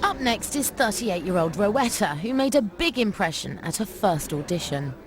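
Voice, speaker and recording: A woman's voice: mid-range voice, very animated, moderate pace, a crowd in the background. A woman reading the scripted voice-over for a TV talent show. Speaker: narrator. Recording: music under voice-over.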